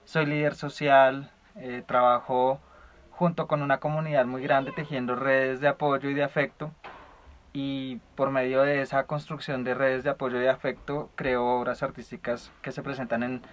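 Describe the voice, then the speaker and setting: A man introducing himself as an artist and social leader, thoughtful and even, pausing with hesitations. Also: medium pitch. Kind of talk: documentary self-introduction.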